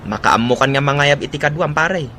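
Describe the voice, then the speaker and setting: A man's voice: fairly high pitch, a little fast, sharp tone, slight background noise. A man with an Ilocano accent playing an eager village buddy in a radio drama. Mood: casual.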